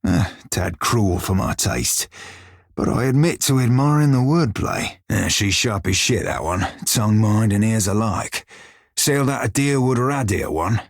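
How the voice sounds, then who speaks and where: An actor playing a gruff, wry pirate shiphunter in a video game, with a rough-edged voice and folksy sailor dialect. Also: male voice, lowish voice, lively intonation, moderate pace, dry recording, studio quality. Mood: wry, brash. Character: salty blue-furred pirate shiphunter, former slave, gruff and wry. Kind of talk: video game character dialogue.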